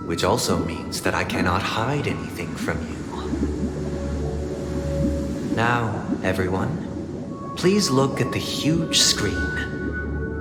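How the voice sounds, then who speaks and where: Drenched in cavernous reverb over loud music, a suave game villain speaks in a low voice. He is solemn and formal, a grandiose idealist who measures out each phrase with deliberate pauses. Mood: calm, commanding. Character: suave, solemn male idealist villain, orphaned elder brother. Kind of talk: theatrical video game voice acting. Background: loud background music.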